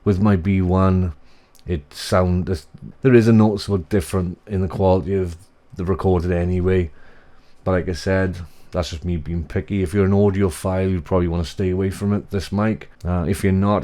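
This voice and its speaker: A man in his thirties with a bassy voice, giving a rambling, off-the-cuff gear review in a small home office, speaking into a desk mic on a boom arm. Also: fairly even intonation, normal speed, mellow. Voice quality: deep, bassy voice. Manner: rambling, self-correcting, casual. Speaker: tech reviewer. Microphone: desk USB mic on boom arm.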